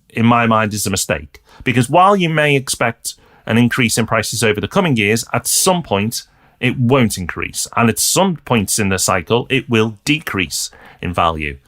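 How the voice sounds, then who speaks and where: British male co-host, animated pitch, emphatic explainer. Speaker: podcast co-host. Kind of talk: podcast advice chat.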